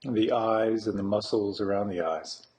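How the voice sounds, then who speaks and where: A man guiding a meditation as a teacher, speaking slowly and deliberately, with long pauses between phrases. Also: fairly low pitch, darkish tone. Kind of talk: guided meditation instruction.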